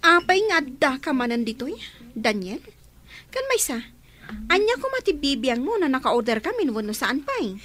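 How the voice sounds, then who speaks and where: A spoiled young Filipino woman in a radio drama. Her voice is very high, piercing and swoops dramatically as she bosses people about. She is haughty, cruel and quick to scold. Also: sing-song, a bit bright. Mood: haughty, defiant. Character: spoiled, bossy, cruel young woman, domineering elder sister.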